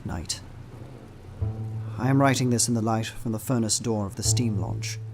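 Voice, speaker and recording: An anxious young Victorian husband played by a man records his journal in a radio play. His voice is low and brooding, with formal old-fashioned phrasing, and guitar music plays clearly behind him. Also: moderate pace, natural voice. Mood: grave, determined. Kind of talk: dramatized diary narration in a radio play. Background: plucked guitar music.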